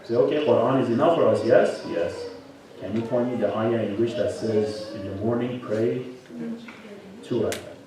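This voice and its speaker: Male preacher, interactive sermon, medium pitch, rhetorical questions, heavy room echo, audible congregation. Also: moderate pace, a crowd in the background. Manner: conversational, questioning, frequent rhetorical questions and "right?" tags. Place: large echoing religious hall. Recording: very echoey hall, distant, audible congregation.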